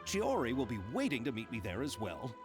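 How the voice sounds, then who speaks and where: A man voicing an excitable, polite young festival organiser in a video game, effusive and chatty. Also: medium pitch, brisk, music in the background. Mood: earnest, friendly. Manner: effusive, theatrical, explanatory. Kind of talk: video game character acting.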